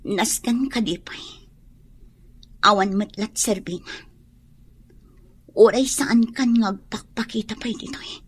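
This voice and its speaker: An actress playing a wounded, guarded young mother with a breathy, emotional delivery in a radio drama. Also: medium pitch, slow pace, long pauses. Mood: hurt, guarded. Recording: noisy recording.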